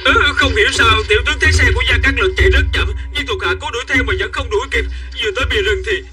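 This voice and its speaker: An excitable underling soldier in an animated war saga. His voice is very high for a man and races along, urgent and fearful, always deferring to rank. Faint music sits behind it. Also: racing. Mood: nervous. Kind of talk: dubbed animated historical drama acting. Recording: slightly distant, music behind.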